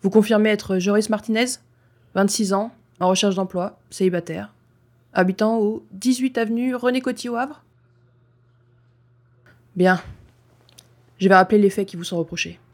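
A woman playing a stern, composed police inspector in an audio drama, speaking formally and methodically with a slightly husky voice. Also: medium pitch, steady tone, close to an everyday voice. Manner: formal, measured, procedural. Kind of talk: scripted audio drama dialogue.

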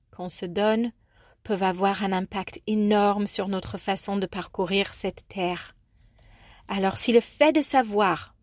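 Slow, soft-spoken woman host. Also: medium pitch, no room sound, clean studio sound. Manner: soft, slow and contemplative, gentle emphasis. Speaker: chronicle host. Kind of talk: reflective spiritual radio chronicle.

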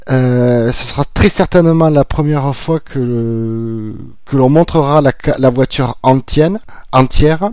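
A man chatting casually as a podcast co-host. He is relaxed, laughs easily and trails off mid-thought. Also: medium pitch, dry recording, studio quality. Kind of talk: casual motorsport chat.

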